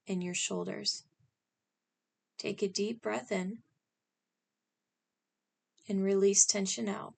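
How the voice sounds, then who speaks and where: A woman leading a scripted guided meditation in a calm, instructional manner, speaking in short, spaced phrases. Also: medium pitch, vocal fry.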